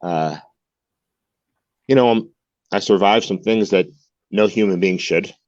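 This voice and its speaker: A man's voice: on the low side, a little slow, darkish tone, dry recording, highs cut off. A man in his forties, a guest in a candid interview, talking earnestly and repeating words as he searches for them. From United States. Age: 40s. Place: home in Mexico.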